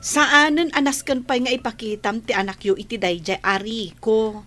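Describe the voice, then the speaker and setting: A woman's voice: fairly high pitch, steady tone, normal speed, sharp tone, faint music behind. A woman playing a protective, indignant young friend in a radio drama, speaking emphatically and outspokenly.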